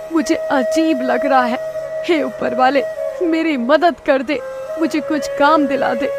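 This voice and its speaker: Woman voicing a high-pitched, earnest poor village husband. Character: poor, kind-hearted village husband, humble and troubled. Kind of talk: dramatized moral story for a cartoon.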